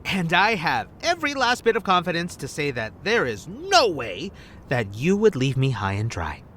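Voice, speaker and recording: A man's voice: fairly high pitch, huge pitch swings, moderate pace, a bit bright, slight background noise. An actor playing a smug, teasing male secret agent in an anime-style audio drama. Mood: teasing, confident. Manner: teasing, cocky.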